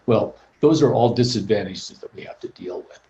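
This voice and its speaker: A man in his sixties, a prosthodontist, giving a practical, plainspoken webinar talk in a measured way. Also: lowish voice, vocal fry, warm tone. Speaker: prosthodontist presenter. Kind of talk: informal professional presentation. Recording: muffled lo-fi call audio.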